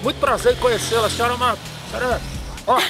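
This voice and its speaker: Nosy loudmouth at a bus stop, a man doing an over-the-top Northeastern Brazilian accent, chatty and intrusive, with music under him. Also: extremely high pitch, fairly even intonation, racing. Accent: put-on Northeastern Brazilian. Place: outdoors at a city bus stop. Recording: TV segment with music behind.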